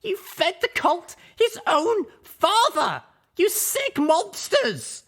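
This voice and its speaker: A righteous young mare seethes with dangerous anger, acted out by a male reader. The voice sits far above a man's usual pitch and swings widely, moving between raging shouts and growled threats in a dramatic audio story. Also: very animated, slow pace, exaggerated voice. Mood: furious.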